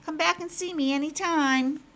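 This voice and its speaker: A woman's voice: sits high, steady tone, moderate pace, fairly bright tone, voice pushed up. A woman voicing a polite, slightly formal, forgiving male frog in a children's storybook read-aloud.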